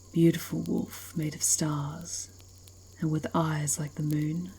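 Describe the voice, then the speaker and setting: Woman as a low, husky werewolf pack leader. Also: a little mellow, heavily acted. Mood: wistful storytelling. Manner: haughty, menacing, deliberate, slips into whispers. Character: proud, menacing female werewolf, leader of an ancient pack. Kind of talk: ASMR fantasy roleplay acting.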